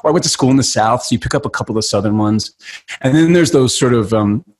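A man in his fifties from New York, a guest actor telling stories in a casual podcast chat over a video call, lively and full of restarts. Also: medium pitch, quick pace. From New York City. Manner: animated storyteller, frequent restarts and gestures. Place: home room. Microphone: studio mic with headphones, video call.

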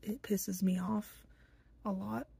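A young woman talking to a phone in a small bedroom, blunt and irritable, halting with many fillers. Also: mid-range voice, steady tone, vocal fry, warm tone, slightly rough, no echo. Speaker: vlogger introducing themselves. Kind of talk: casual self-introduction to camera.

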